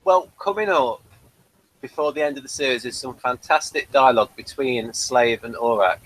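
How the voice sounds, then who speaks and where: A man's voice: medium pitch, moderate pace, fairly bright tone, a little hiss or hum, rough recording. Easygoing man hosting a review chat. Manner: easygoing, chatty, a little hesitant. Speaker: podcast host. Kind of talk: casual chat reviewing a TV episode.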